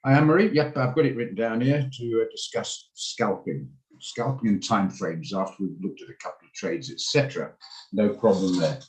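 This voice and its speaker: A man's voice: on the low side, expressive, a little fast, darkish tone, poor audio. A man hosting a live online trading session over a video call, greeting people by name and talking in a rambling, self-deprecating way with lots of fillers. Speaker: trading room host.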